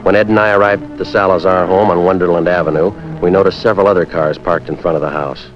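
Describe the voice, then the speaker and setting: Deep, clipped, deadpan cop played by a male actor, narrating a case over music on a dull vintage soundtrack. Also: fast talker, lightly acted. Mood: deadpan, businesslike. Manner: clipped, deadpan, matter-of-fact. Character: terse, businesslike male police sergeant narrating his case. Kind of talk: 1950s police drama dialogue and narration.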